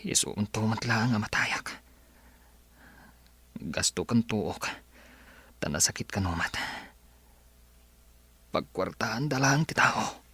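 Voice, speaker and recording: Slow, very husky bitter sick man. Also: lowish voice, animated, sharp tone, no room sound, full character voice. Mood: grudging, weary. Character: bitter, stubborn man sick with leukemia, refusing treatment. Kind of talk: Ilocano radio drama acting.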